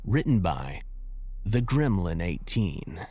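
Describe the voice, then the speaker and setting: Mellow man narrating a horror story. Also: low-pitched, very animated, slow, breathy edge, no echo. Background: music.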